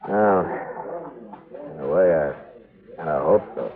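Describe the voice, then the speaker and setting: Steady lawman, American accent, low voice, dry, terse, old radio western, narrow-band recording. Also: slow pace. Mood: grim, thoughtful. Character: steady, dry, no-nonsense male frontier marshal.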